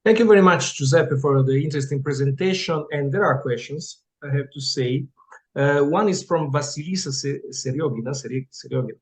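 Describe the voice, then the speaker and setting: A man's voice: fairly high pitch, brisk. A man moderating an online session, reading out audience questions politely. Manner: polite, stumbles over names.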